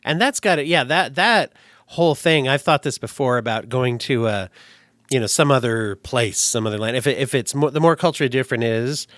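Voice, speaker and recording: A man's voice: mid-range voice, fairly quick, a bit bright, dry recording, studio quality. A man from the United States hosting an interview, thinking aloud in a thoughtful, digressive way. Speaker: podcast host. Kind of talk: casual interview. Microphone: remote call.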